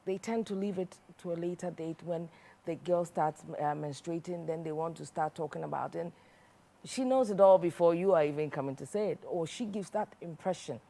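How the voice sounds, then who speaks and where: A woman's voice: lowish voice, fairly quick, gravelly, dark tone. A woman hosting a studio talk show, closing the episode and addressing viewers directly.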